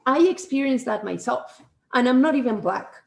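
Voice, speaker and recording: Woman, Spanish accent, high voice, echoey room, loose chatty delivery with lots of restarts. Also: moderate pace, creaky. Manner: rambling, many restarts and "right?" tags. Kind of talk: casual conversation.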